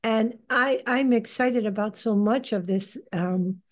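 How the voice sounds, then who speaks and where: A woman in her sixties giving informal religious teaching on a group call, speaking in an earnest, deliberate, halting way. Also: fairly high pitch. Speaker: leader of a lay religious group. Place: living room, indoors. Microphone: computer on a video call.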